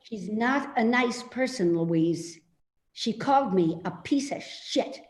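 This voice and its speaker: A woman's voice: a little high, animated. A woman in a play reading, playing a suspicious, complaining elderly mother who nags indignantly. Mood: suspicious, disgusted. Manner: nagging, indignant, slow and insistent. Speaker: actor in a readers theater play. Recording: roomy, echoey remote reading.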